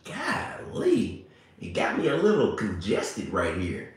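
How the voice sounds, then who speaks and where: A man, chatting playfully with viewers on a livestream, a little hoarse and congested. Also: lowish voice, wildly swooping pitch, takes it slowly. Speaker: livestream host. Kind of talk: casual livestream chat with viewers. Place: small bright room indoors. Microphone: phone at arm's length. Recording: very echoey room.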